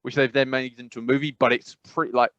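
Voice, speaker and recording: An English man chatting on a podcast, rambling through his thoughts and stressing words by repeating them. His voice sits at an ordinary pitch. The recording is dry and a little muffled. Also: fast talker, no room sound. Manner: rambling, animated, emphatic repetition. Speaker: podcast co-host. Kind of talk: casual chat.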